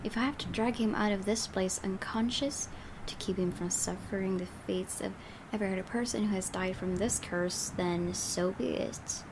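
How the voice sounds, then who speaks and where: A woman's voice: medium pitch, normal speed, noisy background. A woman hosting a gaming video, reading story narration aloud dramatically with casual reactions. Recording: game music clearly behind. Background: game music.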